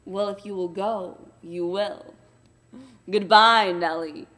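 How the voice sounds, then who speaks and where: A woman's voice: mid-range voice, fairly even intonation, takes it slowly, in a large hall. A woman voicing a cruel male dwarf who barks orders and threats at his wife in a storybook reading. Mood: curt. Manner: loud, commanding, threatening.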